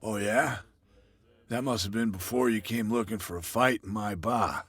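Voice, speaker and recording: An actor playing a wary male barkeeper in a video game, cagey and matter-of-fact, with a rough, husky voice. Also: fairly low pitch, moderate pace, fairly bright tone. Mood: guarded. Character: wary, guarded male barkeeper passing on local rumors. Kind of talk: video game cutscene dialogue.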